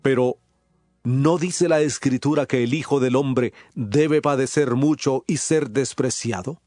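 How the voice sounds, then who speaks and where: A man's voice: medium pitch, unhurried, a little mellow, dry recording, clean studio sound, faint music behind, close to an everyday voice. A man playing an authoritative, solemn male teacher in a dramatized scripture reading, declaiming with emphasis. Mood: solemn, assured.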